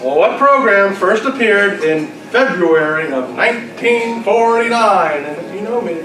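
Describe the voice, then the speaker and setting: A man's voice: high voice, measured pace, faint music behind. A man acting as quiz master at a club luncheon, reading out questions with joking, teasing banter. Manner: jocular, teasing. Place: club luncheon in a large room. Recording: distant mic in echoey, noisy crowded room. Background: lively crowd chatter and laughter.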